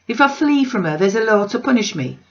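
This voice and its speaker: A woman's voice: fairly high pitch, sing-song, fairly quick, lo-fi sound, voice pushed up. A woman voicing an earnest, distressed working-class male weaver who speaks in broad dialect, in a storybook reading. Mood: pleading. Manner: earnest, broad Northern English dialect speech.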